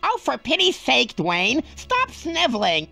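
A fussy, exasperated cartoon dog catcher in a game cutscene. The voice is very high and creaky with a sharp, pinched edge. He sounds sniffly and easily irritated. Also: wildly swooping pitch, measured pace, faint music behind, exaggerated voice. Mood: irritable. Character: whiny, irritable fat male dog catcher with allergies. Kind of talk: video game cutscene acting.

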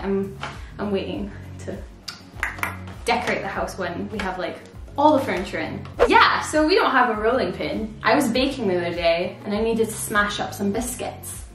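A woman's voice: mid-range voice, lively intonation, normal speed, roomy sound, music in the background. A woman in her twenties chatting in a bubbly, giggly way as she shows off her shopping at home. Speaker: vlogger. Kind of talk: casual haul chat. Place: living room at home.

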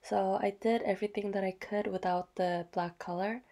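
Female vlogger, mellow and a little gravelly, slightly hoarse from a cold, chatty show-and-tell voice-over. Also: medium pitch, fairly even intonation, normal speed. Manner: soft, chatty, with fillers and small apologies. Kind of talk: casual show-and-tell narration.

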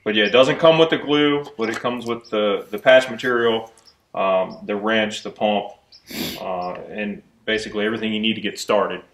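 A man's voice: fairly low pitch, moderate pace, fairly bright tone, reverberant. A middle-aged man explaining gear in a plain, matter-of-fact way in a workshop. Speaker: kayak maker. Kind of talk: casual explanation. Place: open garage workshop.

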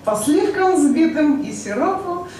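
A woman's voice: high-pitched, lively intonation, measured pace. A woman in her thirties reciting poetry on stage in a playful, theatrical way. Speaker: poet. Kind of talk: expressive live poetry recital. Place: rock club stage, indoors. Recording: very echoey club, noisy, distant pickup.

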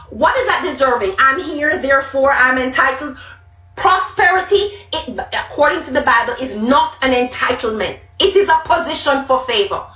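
A woman's voice: high voice, pushed and bright. Emphatic Jamaican woman preaching over a webcam. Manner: emphatic, repeats key words, gestures a lot. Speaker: speaker. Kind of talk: motivational Bible talk to a live group. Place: small room indoors. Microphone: webcam at arm's length. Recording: roomy, distant, dull webcam sound.

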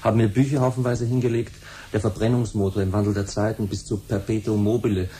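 An actor playing a sullen runaway son in a 1970s radio play, blunt and colloquial. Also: male voice, fairly low pitch, flat delivery, fast, warm tone, close to an everyday voice. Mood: sullen, matter-of-fact. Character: sullen, runaway teenage son who loves music. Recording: faintly noisy 1970s radio recording.

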